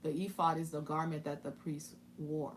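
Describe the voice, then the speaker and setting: Woman musing through scripture, lower voice. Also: level tone, normal speed, slight background noise. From Haiti. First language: French. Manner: thinking aloud, with many restarts and asides. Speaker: Bible teacher. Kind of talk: casual Bible study commentary.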